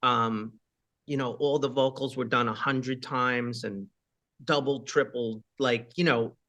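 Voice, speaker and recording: A rock singer with a high voice, chatting casually in an interview and rambling with many fillers and asides. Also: male voice, steady tone, a little slow, pushed and bright.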